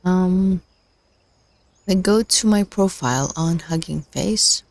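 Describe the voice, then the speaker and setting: Woman, losing her voice, hesitant, lots of ums, lowish pitch, dry mic. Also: takes it slowly, gravelly, no room sound. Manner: hesitant, many fillers and restarts, talks to herself. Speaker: livestream host learning machine learning. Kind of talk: casual screen-share livestream, thinking aloud.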